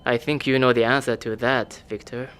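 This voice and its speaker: A man playing a cool, evasive male government official who speaks in a calm, formal, measured way, in an audio drama. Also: mid-range voice, clean tone, natural voice.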